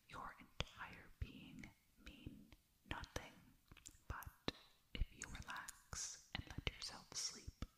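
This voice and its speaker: A woman voicing a serene, detached alien girl in an ASMR hypnosis roleplay, speaking softly and breathily, mostly in whispers, with deliberate, formal phrasing. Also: low voice.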